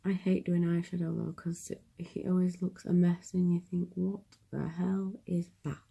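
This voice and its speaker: A young woman with a cold talks her way through a makeup tutorial. Her voice is a little low and gravelly, with a sniffly edge. She chats in a self-deprecating way and often slips into soft whispers while she concentrates. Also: flat delivery, moderate pace. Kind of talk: casual makeup tutorial chat.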